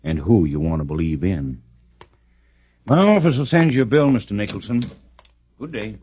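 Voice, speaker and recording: Deep, dark-voiced investigator. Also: male voice, very animated, normal speed, husky, no room sound. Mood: cool, curt. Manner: terse, noncommittal. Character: cool, skeptical male private investigator. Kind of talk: 1940s radio drama acting. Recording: dull, dry vintage radio recording.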